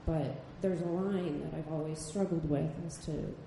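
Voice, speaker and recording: A woman with a low, soft-spoken voice talks hesitantly, pausing on frequent ums. The room around her rings with strong echo. A steady hiss of background noise sits under her speech. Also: vocal fry. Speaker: photojournalist on a panel. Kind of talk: panel discussion answer. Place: gallery event space. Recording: echoey room, far mic, background noise.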